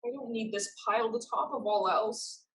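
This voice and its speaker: A woman's voice: a little high, normal speed, a bit bright, lightly acted, poor audio. A woman acting a blunt, proud young female pirate fighter in a staged reading of a drama. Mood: troubled.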